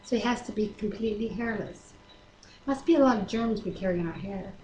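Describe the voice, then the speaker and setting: A woman's voice: medium pitch, fairly quick, darkish tone. A woman with a conversational, informal manner, co-hosting a casual Bible reading, recorded on a webcam microphone. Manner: conversational, adds informal asides. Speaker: co-host reading the Bible aloud. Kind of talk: casual chat and scripture reading. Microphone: webcam microphone at a distance. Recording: echoey room, faint noise, webcam microphone.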